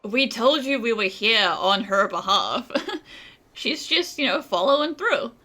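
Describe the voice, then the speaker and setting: A woman's voice: a little high, expressive, a little slow, sharp tone. A woman giving casual, wry and teasing commentary on a game playthrough in slangy speech. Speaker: gaming streamer.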